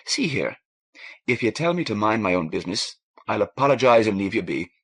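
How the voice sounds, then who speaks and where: A man voicing a warm, frank Irish woman, a ship's doctor, in a lilting, coaxing manner for an audiobook. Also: on the low side, sing-song, brisk, breathy edge, exaggerated voice. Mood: soothing, probing.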